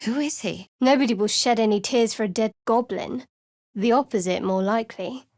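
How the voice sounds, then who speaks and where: A woman voicing a guarded, sardonic young female cleric in a fantasy video game, with a dry, measured, wry delivery. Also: on the high side, a little mellow, dry recording, lightly acted. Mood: wary, dry.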